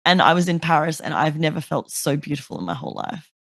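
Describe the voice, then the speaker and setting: Brief: woman host with a lowish voice, dry close sound, chatty, swears a lot. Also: fast talker, creaky, dry recording. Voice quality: deeper voice. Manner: chatty, swears freely, animated storytelling. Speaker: podcast host. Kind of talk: casual chat.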